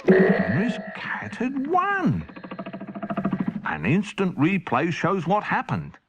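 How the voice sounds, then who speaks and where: Male children's storyteller: high-pitched, animated, swooping delivery, theatrical. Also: takes it slowly, highs cut off, heavy background noise. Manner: expressive, theatrical. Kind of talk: scripted children's audiobook narration.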